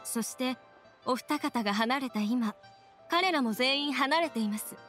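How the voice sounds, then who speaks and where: A young teahouse attendant from a video game in a high voice. She is composed, formal and deferential, with soft music behind. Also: measured pace. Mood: polite firmness. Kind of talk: Japanese game voice acting.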